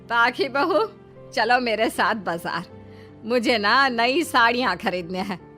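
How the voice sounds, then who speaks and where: A woman's voice: on the high side, lively intonation, slow, sharp tone, soft background music, exaggerated voice. A woman voicing a bossy, possessive older mother-in-law in a cartoon, emphatic, coaxing and imperious.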